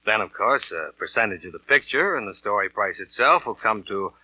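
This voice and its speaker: An actor playing a cynical, smooth-lying American salesman and narrator in a 1940s radio thriller, cool and offhand in manner. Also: lowish voice, animated, a little fast, dry recording. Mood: boastful, offhand. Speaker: lead actor and first-person narrator. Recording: dull vintage radio recording with music.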